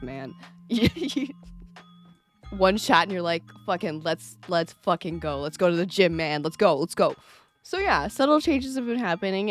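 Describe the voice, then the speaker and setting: Chatty, candid young man with faint music. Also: high-pitched. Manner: rambling, candid, swears often, laughs a lot. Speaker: vlogger. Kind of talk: casual vlog chat.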